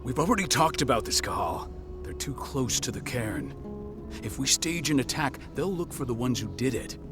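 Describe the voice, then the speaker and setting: A man playing a firm, cautious leader of a werewolf band in a video game, measured and authoritative. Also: mid-range voice, expressive, over music, lightly acted. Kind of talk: dramatic video game acting.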